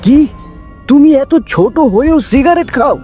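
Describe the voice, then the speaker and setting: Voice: high-pitched, expressive, unhurried, dark tone. A performer voicing an earnest, pious young boy in a moral cartoon. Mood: puzzled, shocked. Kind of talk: cartoon voice acting. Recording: music behind the voice. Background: background music.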